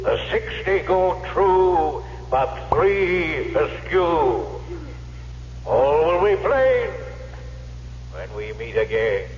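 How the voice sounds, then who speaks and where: Slow, echoing, sinister devil. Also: male voice, a little high, big pitch swings, lo-fi sound, full character voice. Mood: gloating. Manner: slow, theatrical, taunting pronouncements. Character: sly, menacing one-legged devil of the midnight crossroads. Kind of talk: old-time radio horror acting. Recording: echoing, noisy old radio recording with hum.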